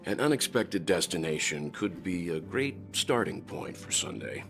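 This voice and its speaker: An actor voicing a calm, composed older gentleman who speaks in a measured, reassuring way in game dialogue. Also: low voice, music in the background.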